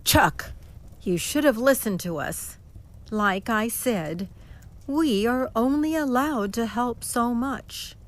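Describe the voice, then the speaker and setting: A woman's voice: a little high, expressive, takes it slowly. A woman playing a solemn guardian angel in an audio horror drama, giving reproachful, foreboding warnings.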